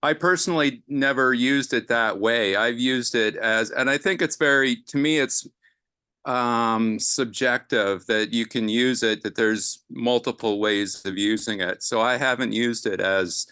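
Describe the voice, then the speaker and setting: A man on a panel in a casual roundtable discussion, talking in a relaxed way and thinking aloud with fillers. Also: medium pitch, a little slow, clear, resonant tone, no echo, studio quality.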